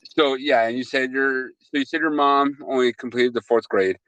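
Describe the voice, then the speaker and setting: A man's voice: mid-range voice, fairly even intonation, normal speed, fairly bright tone, no room sound, poor audio. A man interviewing a guest, giving brief encouraging responses and asking follow-up questions. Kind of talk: casual conversational interview.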